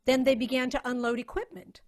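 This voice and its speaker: A woman's voice: high voice, level tone, fairly quick. A woman reading scripted story narration in a dramatic style, as the narrator.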